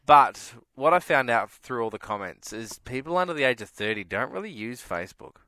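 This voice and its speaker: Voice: medium pitch, lively intonation, brisk, pushed and bright, dry recording. A radio co-host, chatting playfully, laughing often and acting out what listeners say. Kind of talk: casual radio chat.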